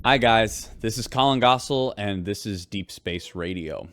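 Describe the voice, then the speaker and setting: An actor playing a wry, weary spaceship radio host, reporting in a measured way in a sci-fi audio drama. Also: male voice, lowish voice, fairly bright tone.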